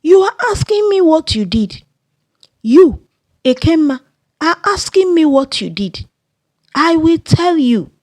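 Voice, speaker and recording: A woman's voice: high voice, big pitch swings, slow, long pauses, darkish tone, dry recording, voice pushed up, full character voice. A woman voicing a dramatic middle-aged village mother who scolds and wails at her daughter in a folktale. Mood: furious scolding. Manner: dramatic, accusing, wailing.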